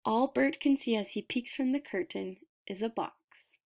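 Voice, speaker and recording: A woman with a slightly high voice narrates a children's storybook. She takes her time, very unhurried and deliberate. Her expressive reading uses emphasis and pauses for drama. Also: gravelly. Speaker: picture book reader.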